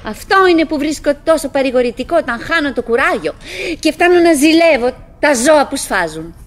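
An actress playing a chattering, doggedly cheerful middle-aged wife who fears solitude, in a halting, musing theatrical radio monologue. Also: female voice, high-pitched, lively intonation. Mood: wistful musing. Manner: musing, halting, talking to herself and her husband.

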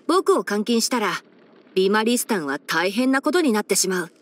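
A woman voicing a clever, gentle young male fox-eared ranger chief in a video game, with a light boyish tone and measured, softly wry, articulate delivery. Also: medium pitch, big pitch swings. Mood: wry, composed. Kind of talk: Japanese game character voice-over.

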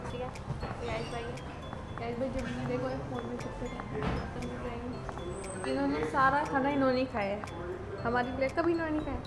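A young woman chats to her phone camera in a high, playful voice, teasing her family between laughs. The sound is roomy and echoey, and loud music plays underneath. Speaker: daily vlogger, sister of the host. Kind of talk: casual vlog chat to camera.

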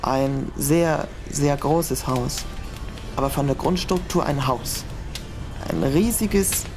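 A woman's voice: low-pitched, takes it slowly. A woman voicing a nervous young male student in an amateur audio drama, fretting and talking to herself. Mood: panicked. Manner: anxious, fretful, talks to herself. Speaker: voice actor in a fan audio drama. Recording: music playing behind voice.

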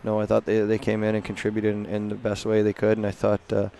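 A man in his thirties, a hockey coach giving a halting postgame interview at an indoor rink on a headset mic. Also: lowish voice, a little hiss or hum. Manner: flat, gravelly, halting with many restarts.

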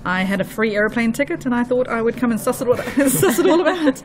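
A woman's voice: fairly high pitch, lively intonation, quick pace, low-quality recording. A woman from Botswana with a mixed international accent, speaking confidently and emphatically as an interior designer guest on a radio show. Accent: mixed international, Botswana and New Zealand.

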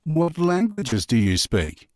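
A man reading a question slowly on a textbook recording, spacing each word carefully. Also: fairly high pitch, big pitch swings, no room sound, clean studio sound. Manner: slow, carefully spaced question. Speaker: recorded dialogue speaker. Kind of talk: slow scripted textbook audio.

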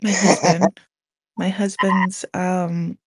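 A young woman in her twenties throws sarcastic, mocking jabs into a phone. Her voice sits on the low side, and the sound is close and dry with no room around it. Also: flat delivery, takes it slowly, husky, no echo. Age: 20s. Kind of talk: heated insult exchange in a live audio chat room.

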